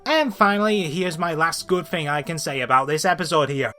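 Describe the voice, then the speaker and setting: A woman's voice: low voice, a little fast, a bit bright, faint music behind. A woman hosting a scripted comedic review, blunt and sweary, with an exasperated, ranting manner. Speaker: host of a cartoon review show.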